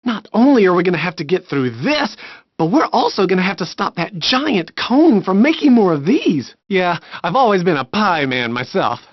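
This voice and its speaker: A dry, slightly muffled game clip of a wholesome little handyman hero. He is chipper, polite and folksy, and his pitch leaps about in a very lively way. Old-fashioned exclamations pepper his earnest talk. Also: moderate pace, no room sound. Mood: earnest, chatty. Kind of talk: animated game character voice clips. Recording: dull, lo-fi handheld game audio.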